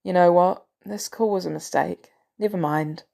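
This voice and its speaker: A woman's voice: fairly low pitch, moderate pace, vocal fry, warm tone. A woman voicing a weary, wry, guarded retired male agent in an audiobook story, with a hesitant, sardonic way of talking. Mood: reluctant, hesitant.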